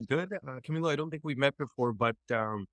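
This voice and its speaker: A man's voice: mid-range voice, fairly quick, a bit bright, no room sound, studio quality. A man raised in Canada, a property developer, talking plainly in a casual video chat with "uh" fillers.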